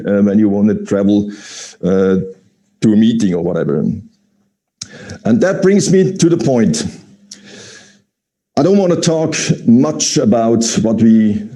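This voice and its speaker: Presenter, male, mid pitch, very dark and mellow tone, hesitant phrasing with lots of fillers. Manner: halting, many fillers, non-native phrasing. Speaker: company presenter. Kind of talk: live-streamed business presentation.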